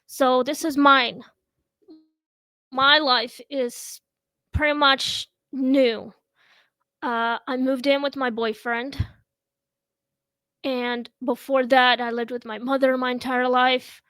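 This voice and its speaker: Slow, high-voiced woman in her thirties. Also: flat delivery, pushed and bright, clear, resonant tone, no room sound. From Russia. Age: 30s. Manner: slow, halting, with many pauses and fillers. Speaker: host. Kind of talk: casual chat. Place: at home.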